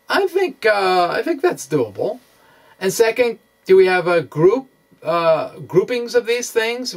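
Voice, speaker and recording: Male science presenter, fairly high voice, slow and halting delivery, thinks aloud with a self-deprecating touch. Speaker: presenter of a physics video blog. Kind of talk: informal science lecture.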